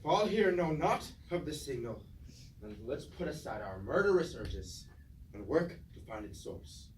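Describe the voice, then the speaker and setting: An actor playing a weary, noble old robot war leader in formal, declamatory stage-tragedy style. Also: male voice, mid-range voice, big pitch swings, moderate pace, close to an everyday voice. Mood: urgent, commanding. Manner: formal, declamatory, archaic phrasing. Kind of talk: theatrical Greek-tragedy stage acting. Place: indoor room, staged reading at a university. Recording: distant, echoey, noisy room recording.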